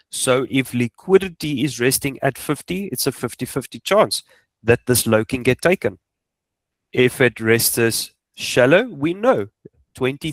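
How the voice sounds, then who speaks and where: Male coach, Afrikaans-speaking South African accent. Informal, emphatic instruction with rhetorical questions, average pitch, very little room sound. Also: dry recording. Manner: emphatic, repeats points, asks rhetorical questions. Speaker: trading coach. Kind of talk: informal instructional screen walkthrough.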